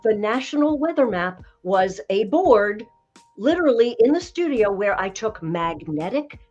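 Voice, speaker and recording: Woman, 60s, voice coach guest, slightly low voice, emphatic storyteller, dull podcast audio. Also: very animated, a little slow, darkish tone, faint music behind. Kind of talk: conversational podcast interview.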